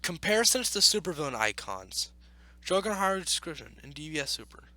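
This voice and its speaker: A man's voice: on the high side, very animated, brisk, sharp tone, dry recording. A man giving casual reaction commentary as he reads, in an exasperated, argumentative way. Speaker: YouTube commentator.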